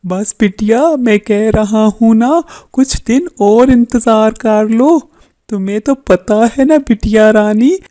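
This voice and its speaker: A woman as a mellow, patient father bird. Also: fairly high pitch. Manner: soothing, coaxing. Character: kindly, patient, poor father bird of a young daughter. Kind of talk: Hindi children's cartoon character voice.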